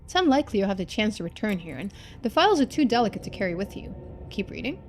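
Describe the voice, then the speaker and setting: A woman streamer reading a story game aloud in expressive narration, with casual asides. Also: mid-range voice, quick pace, slight background noise.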